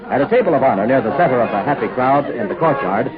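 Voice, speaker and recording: A man's voice: mid-range voice, lively intonation, fast talker, narrow, tinny audio, close to an everyday voice. A man narrating a 1940s radio serial as its announcer. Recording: thin, band-limited old radio recording, faint crowd.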